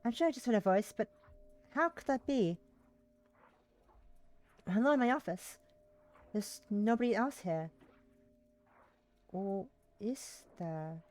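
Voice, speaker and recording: A woman's voice: mid-range voice, expressive, takes it slowly, long pauses, darkish tone. A woman live streaming, chatting casually and reading visual novel text aloud, now and then tripping over words and rereading them. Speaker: live streamer playing a game.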